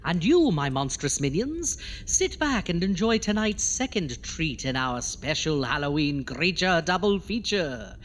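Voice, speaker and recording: A man's voice: fairly high pitch, very animated, unhurried, hardly any pauses, fairly bright tone, a little hiss or hum. A man playing a theatrical, gleefully macabre ghoul doctor who hosts a campy horror audio show. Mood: sly showmanship. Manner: theatrical, exasperated, alliterative. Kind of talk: campy horror host introductions. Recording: reverberant hall with music behind.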